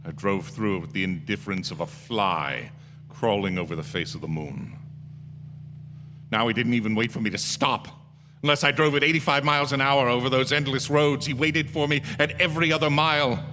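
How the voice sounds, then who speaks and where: An actor playing a desperate young male motorist unravelling with dread, in halting, theatrical radio suspense style. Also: medium pitch, noisy background, natural voice. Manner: intense, halting, stammering. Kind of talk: theatrical radio suspense drama acting and narration. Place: theatre stage. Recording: live theatre stage, long hall reverb, music.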